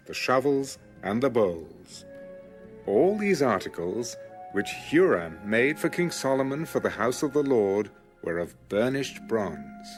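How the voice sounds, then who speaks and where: A man reading scripture as scripted audiobook narration, measured and clearly enunciated. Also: medium pitch. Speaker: Bible narrator.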